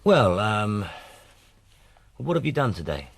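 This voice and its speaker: An actor playing a weary, defensive police detective and husband with a British accent in a naturalistic radio drama. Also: male voice, fairly low pitch, sing-song, slow pace, vocal fry, full character voice. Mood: placating. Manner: exasperated, earnest.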